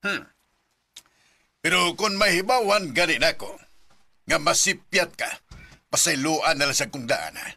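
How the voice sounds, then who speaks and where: A man's voice: a little high, lively intonation, unhurried, creaky, long pauses, sharp tone, no room sound, heavily acted. A man playing a stern middle-aged father in a radio drama, with a Cebuano Visayan Filipino accent. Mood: stern warning. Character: stern father laying down conditions.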